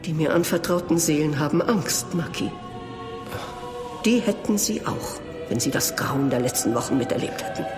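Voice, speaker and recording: An actor playing a grave, pious monk in a horror audio drama, speaking solemnly and portentously. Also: male voice, fairly high pitch, expressive, normal speed, music in the background, lightly acted. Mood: grave, foreboding.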